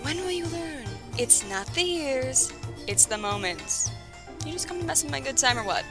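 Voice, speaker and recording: An actress playing a loud, brash woman sergeant who teases her friend. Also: sits high, very animated, normal speed, music in the background. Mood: teasing. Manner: brash, teasing.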